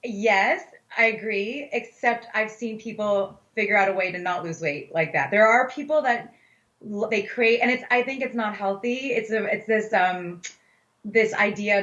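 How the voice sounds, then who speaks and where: A woman with a mid-pitched voice talks casually as an expert interview guest. She is candid and emphatic, and the sound is echoey and a little muffled. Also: moderate pace, fairly bright tone. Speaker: plant-based dietitian, interview guest. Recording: echoey, slightly dull audio.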